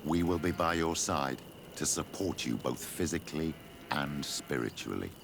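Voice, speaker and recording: A very low, creaky voice of an old priest in a video game. He speaks with measured, pastoral care and solemnity. Clear ambient noise sits behind him. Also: expressive, full character voice. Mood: solemn concern. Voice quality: gravelly.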